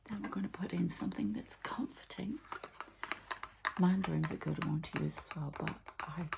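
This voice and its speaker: A woman giving an ASMR energy healing session, softly and haltingly talking through each step as she goes. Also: lowish voice, creaky, noisy background. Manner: soft, halting, murmured commentary on each step. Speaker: ASMR energy healer and channeler.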